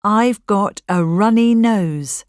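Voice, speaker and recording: A woman reading model sentences slowly and clearly for a coursebook recording. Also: medium pitch, dry recording, studio quality. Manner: slow, careful model sentences for learners. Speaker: course audio speaker. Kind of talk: scripted coursebook audio.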